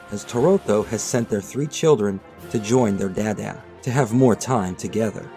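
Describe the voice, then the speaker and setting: A man reading scripted, storybook-style narration in a measured, dramatic storytelling manner. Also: mid-range voice, warm tone. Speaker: narrator of a manga retelling video. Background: background music.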